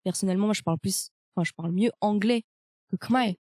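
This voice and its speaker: Mellow-voiced young woman, halting and hesitant. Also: medium pitch, dry recording, clean studio sound. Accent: French. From France. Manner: hesitant, many fillers. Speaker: guest. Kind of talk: casual conversation.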